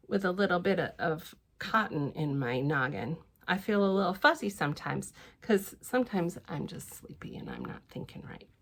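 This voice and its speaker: A woman reading a picture book aloud to children, expressive and playful, with gentle little asides. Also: fairly high pitch. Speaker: library storytime reader.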